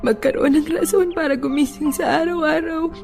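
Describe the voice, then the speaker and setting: A woman's voice: high voice, steady tone, moderate pace, vocal fry, a little mellow. An actress playing a tender, grieving young woman in a Filipino radio romance drama, speaking emotionally with a Filipino accent over music. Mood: grieving, pleading. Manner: emotional, heartfelt delivery. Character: grieving young woman, tender, torn about loving again. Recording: music bed under the voice.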